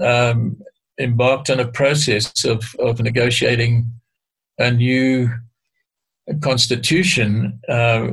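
An older man speaks in a gravelly, creaky voice that stays flat and level in pitch. He explains things in a measured, hesitant way, often pausing and starting phrases again, as a guest being interviewed. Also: low-quality recording. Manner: hesitant, measured, frequent restarts. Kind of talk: explaining history in conversation.

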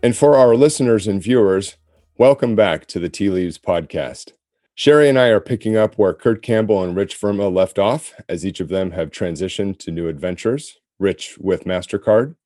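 A man with a low, deep voice hosts a podcast in a measured, formal manner. His tone is mellow and dark, and the sound is close and dry, with no room around it. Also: dry recording. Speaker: podcast co-host. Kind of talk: scripted podcast hosting. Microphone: remote call-in setup.

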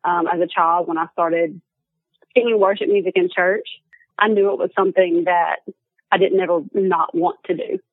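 A woman's voice: fairly low pitch, steady tone, moderate pace, no echo. A young woman with an Alabama accent, a guest chatting casually and laughing often. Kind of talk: casual chat. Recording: tinny phone line, dry.

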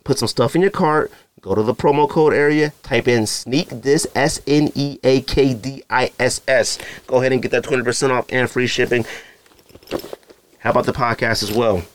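Animated, joking male podcast host. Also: medium pitch, normal speed. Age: adult, married. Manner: animated, joking, direct address to listeners. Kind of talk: casual solo podcast chat with ad read.

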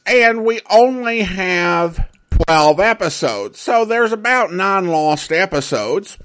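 Slow-paced man reviewing old radio. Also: high-pitched, a bit bright. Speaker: host of an old-time radio podcast. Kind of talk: reflective solo commentary.

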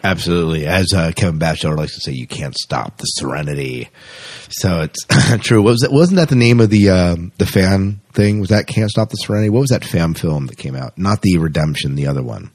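A man's voice: low voice, brisk, darkish tone. A man co-hosting a podcast, chatting casually and reading out news informally with offhand asides. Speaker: podcast co-host.

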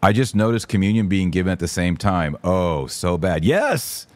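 A man's voice: deep voice, very animated, moderate pace, darkish tone, no echo. A man hosting a podcast, giving casual, emphatic and opinionated livestream commentary with informal slang. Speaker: podcast host.

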